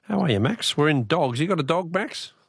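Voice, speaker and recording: A man's voice: on the high side, animated, fairly quick, creaky, slightly rough, dry recording, studio quality. An Australian man hosting a live phone-in radio quiz, chatting with callers in a jokey, bantering way.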